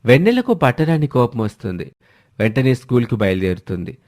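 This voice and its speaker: A man's voice: mid-range voice, sing-song, a little fast, darkish tone. A man narrating a scripted dramatic family story as a storyteller.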